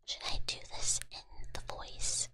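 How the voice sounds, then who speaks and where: A woman speaking in a whisper, chatting casually with viewers on an ASMR-style livestream. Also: measured pace. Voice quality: whispery, mostly unvoiced. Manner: chatty, greets listeners by name.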